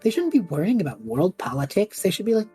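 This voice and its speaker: Woman as a husky, low, idealistic young man. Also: very animated, quick pace, dark tone, soft background music, exaggerated voice. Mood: troubled. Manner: casual, hesitant, earnest. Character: restless, idealistic young adult male traveler between worlds. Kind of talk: scripted audio drama acting.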